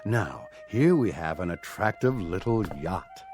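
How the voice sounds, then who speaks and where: A man's voice: low-pitched, expressive, unhurried, darkish tone, faint music behind. An actor playing an eager, wheedling luxury salesman in a radio drama. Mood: pushy, upbeat.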